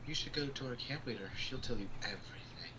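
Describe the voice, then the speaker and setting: Guy reading a pioneer girl giving directions, very sharp bright voice, plain delivery, slight noise. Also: medium pitch, fast, lightly acted, rough recording. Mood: instructive.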